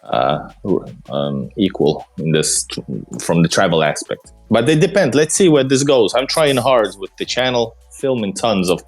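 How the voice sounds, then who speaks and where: Man, very low voice, halting casual talk, faint music behind. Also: sing-song. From Finland. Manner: hesitant, many fillers and restarts. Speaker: travel vlogger. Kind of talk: casual conversation.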